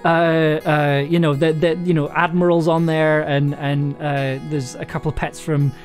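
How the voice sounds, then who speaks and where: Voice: medium pitch, level tone, moderate pace. Hesitant, chatty live streamer over faint guitar. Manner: hesitant, many fillers and restarts, laughs easily. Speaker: audio drama writer streaming live. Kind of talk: casual live-stream chat, reading prompts aloud.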